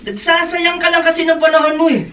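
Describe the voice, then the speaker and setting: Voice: very high-pitched, fast talker, sharp tone, recorded from a distance. An actor playing a mocking, idle jailhouse bully in a radio drama. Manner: mocking, sneering. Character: taunting, idle jailhouse bully needling a fellow inmate. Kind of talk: Filipino radio drama acting. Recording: echoey room, off mic.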